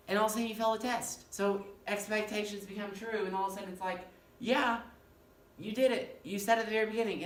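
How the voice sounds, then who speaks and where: A male professor with a fairly high-pitched voice, giving an animated classroom lecture and often checking in with "okay?". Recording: echoey room, mic far off, faint noise.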